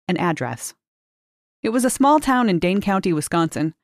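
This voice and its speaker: A woman reading a short story aloud in measured, expressive narration, lifting key words for emphasis. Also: fairly low pitch, dry recording, clean studio sound. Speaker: reader of short fiction.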